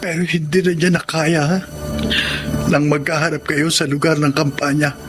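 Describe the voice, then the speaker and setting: A man's voice: fairly high pitch, little pitch movement, normal speed, close to an everyday voice. An actor playing a remorseful young husband in a Tagalog radio drama, polite and pleading as he speaks to elders. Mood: pained. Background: dramatic background music.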